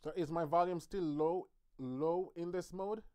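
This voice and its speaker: A man's voice: a little high, a little slow, darkish tone, clean tone. Chatty male piano teacher on a livestream. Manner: casual, chatty, troubleshooting aloud with viewers. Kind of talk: live-streamed piano lesson. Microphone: streaming mic.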